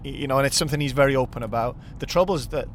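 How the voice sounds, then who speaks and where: A man's voice: mid-range voice, rapid-fire, slight background noise. A man with a Welsh accent whose first language is Welsh, hosting a podcast and chatting casually while driving. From Wales. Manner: chatty and upbeat. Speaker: podcast host. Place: in a car on the road.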